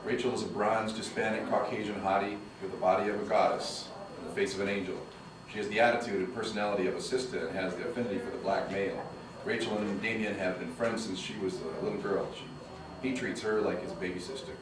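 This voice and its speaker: Deep-voiced man reading stage directions. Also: fairly even intonation, quick pace, a crowd in the background. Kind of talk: screenplay table read narration. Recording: echoey room from a distance, noisy.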